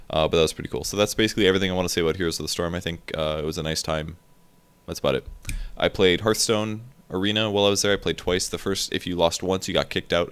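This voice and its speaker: A young man with a very low, deep voice talks fast and loosely into a desk mic. He sounds worn out and rambles through his gaming vlog, full of ums and restarts. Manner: rambling, relaxed, filler-heavy. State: tired. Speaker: gaming video creator. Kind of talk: casual vlog commentary.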